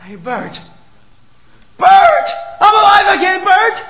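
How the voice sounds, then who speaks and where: A man playing a desperate family man who stammers, pleads and shouts, later overjoyed. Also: very high voice, huge pitch swings, slow, full character voice. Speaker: lead actor. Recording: echoey, music playing behind.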